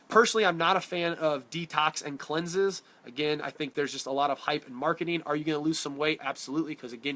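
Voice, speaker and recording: Male fitness coach, blunt and direct, stuffy congested voice, bright tone, webcam live stream. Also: a little high. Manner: direct, blunt, answers viewer questions by name. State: congested from a cold. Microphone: phone or webcam on a live stream.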